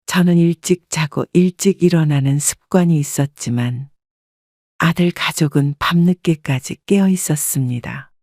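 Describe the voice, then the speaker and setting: A woman calmly reading a scripted first-person family story as narrator. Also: sits low, normal speed, no echo, clean studio sound.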